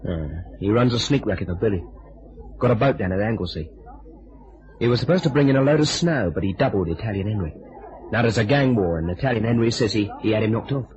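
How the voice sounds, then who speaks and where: A man's voice: on the low side, animated, quick pace, a little mellow, close to an everyday voice. An actor playing a menacing, sardonic gangster with clipped tough-guy talk in an old-time radio drama. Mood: guarded, curious. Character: menacing, sardonic gangster, loyal to his friends. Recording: dull, noisy vintage radio recording.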